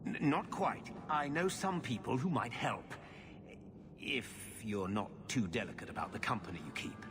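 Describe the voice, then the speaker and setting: An actor playing a shifty, evasive middle-aged uncle in a video game cutscene, hesitant and stammering. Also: male voice, medium pitch, very animated, moderate pace, music in the background, close to an everyday voice. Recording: game score behind the voice.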